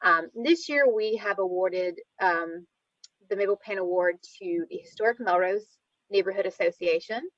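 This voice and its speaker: A woman of medium pitch speaks as a city planner at a public meeting, conversational and a little halting. The sound is very dry and slightly dull, like online meeting audio. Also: no echo. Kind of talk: informal spoken presentation at a public meeting. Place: remote online video meeting.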